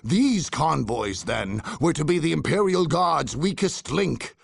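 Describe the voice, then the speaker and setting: An actor narrating as a grandiose war chronicler in a dark sci-fi game, with a raspy, portentous, emphatic delivery. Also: male voice, fairly high pitch, sing-song, a little slow, full character voice. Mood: grave, declamatory. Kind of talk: dramatic scripted narration.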